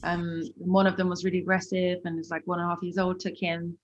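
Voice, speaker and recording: A woman's voice: fairly low pitch, monotone, fast talker, muffled recording. A woman from Switzerland, a musician guest chatting casually and bubbly in an interview.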